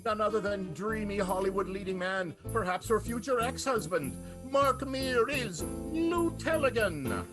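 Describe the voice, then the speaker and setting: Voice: mid-range voice, expressive, a little slow, few pauses. Grandiose announcer with wildly swooping delivery. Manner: grandiose, dramatic. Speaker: announcer of an improvised radio show. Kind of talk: theatrical radio serial narration. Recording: music behind the voice.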